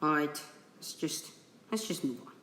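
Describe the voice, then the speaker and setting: A man's voice: a little high, expressive, fairly bright tone, slight background noise. A young man from Indonesia, an English speaker, casually explaining things he reads off a wiki, stumbling and restarting often. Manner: halting, many restarts and apologies. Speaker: video creator. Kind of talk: casual screen-recorded explainer reading from a wiki.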